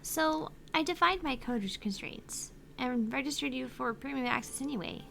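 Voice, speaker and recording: A woman's voice: high-pitched, expressive, normal speed, vocal fry, fairly bright tone, slight background noise, exaggerated voice. A woman reading the lines of a cheerful female AI phone assistant aloud, earnest and a little pleading. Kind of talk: reading game dialogue aloud.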